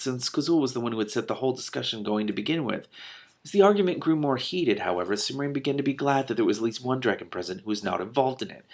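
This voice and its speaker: A man hosting an expressive book read-aloud, breaking off for animated, incredulous, casual reactions. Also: fairly low pitch, vocal fry. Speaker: host reading a book aloud with commentary.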